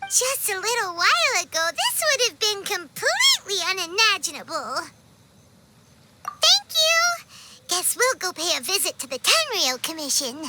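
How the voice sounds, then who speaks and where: A woman voicing a tiny, childlike fairy companion in a video game, squeaky and excitable, with exaggerated delivery. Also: a little slow, heavy background noise, full character voice. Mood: friendly, curious. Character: tiny chirpy childlike fairy companion, talks in third person. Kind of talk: video game cutscene acting. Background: music.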